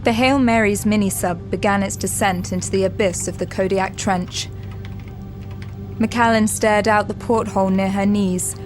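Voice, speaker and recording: A woman narrates an audio drama as a scripted story, measured and descriptive. Her voice is mid-pitched, with music clearly playing beneath it.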